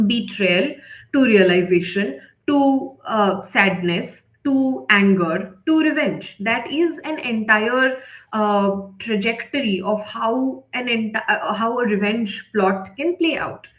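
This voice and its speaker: A woman leading a live online writing lesson, explaining step by step and often checking in with the listener. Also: fairly high pitch. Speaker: writing instructor, editor leading a session. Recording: echoey, roomy sound.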